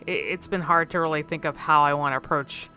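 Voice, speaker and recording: Faint music plays behind a woman talking off the cuff about a game. Her voice sits a little low but has a bright edge. She speaks casually and hesitantly, meandering from thought to thought as she comments. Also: steady tone, a little fast. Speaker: video creator. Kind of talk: casual unscripted gameplay commentary.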